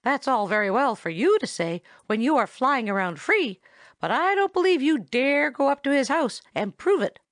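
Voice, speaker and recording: A woman's voice: sits high, big pitch swings, moderate pace, no echo, studio quality, pitched above the performer's own voice. A woman voicing a wary, doubtful male red squirrel who scolds from habit, in a storybook reading. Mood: taunting.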